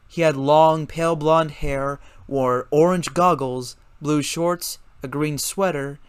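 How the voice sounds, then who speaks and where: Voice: mid-range voice, no room sound. A narrator giving a scripted dramatic reading of a story.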